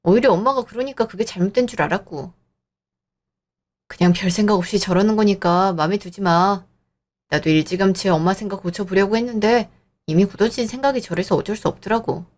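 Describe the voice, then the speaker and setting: A woman voicing a young man, a fiancé who soothes and smooths things over in an audio drama. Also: mid-range voice, fairly quick, darkish tone, close to an everyday voice. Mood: placating. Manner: soothing, placating. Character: young adult man, gentle peacemaking fiancé.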